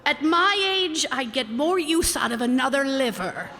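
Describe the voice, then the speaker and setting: A woman's voice: high-pitched, expressive, normal speed. A woman playing a melodramatic, fed-up old wife in a hammy radio comedy, recorded in a noisy, echoey hall. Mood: stubborn, dramatic. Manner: hammy, declamatory.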